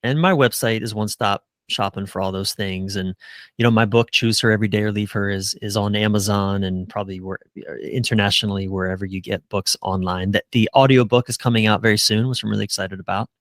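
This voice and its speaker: Low-voiced man talking earnestly, dry recording. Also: fairly even intonation, fast talker, no room sound. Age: middle-aged. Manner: earnest, casual, swears. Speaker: relationship coach, podcast guest. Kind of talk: conversational interview answers.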